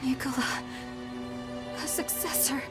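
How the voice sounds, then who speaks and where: A woman playing a gentle, grieving young revenant in a video game, speaking in a halting, emotional way. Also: high voice, loud music behind the voice. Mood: sorrowful realization. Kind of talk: dramatic video game voice acting.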